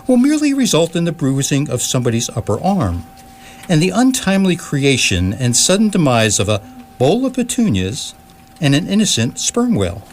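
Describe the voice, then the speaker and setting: A man playing a wry narrator of a comic space guidebook, reading scripted narration in a deliberate, mock-grand deadpan. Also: mid-range voice, close to an everyday voice. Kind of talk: scripted comic narration for live radio theatre. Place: live radio theatre performance. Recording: noisy live stage recording.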